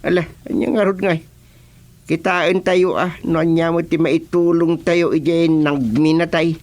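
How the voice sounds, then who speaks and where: A man's voice: on the high side, steady tone, a little slow, close to an everyday voice. A man with an Ilocano accent playing a village chairman in a radio comedy-drama, talking conversationally with many interjections. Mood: puzzled. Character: male village chairman, reacting with drawn-out exclamations. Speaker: actor in a radio serial.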